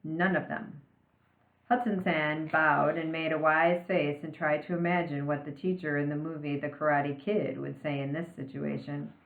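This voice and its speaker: A woman with a slightly low voice reads a children's novel aloud. Her delivery is expressive storytelling. The recording is roomy and somewhat muffled, with limited frequency range. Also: normal speed, few pauses.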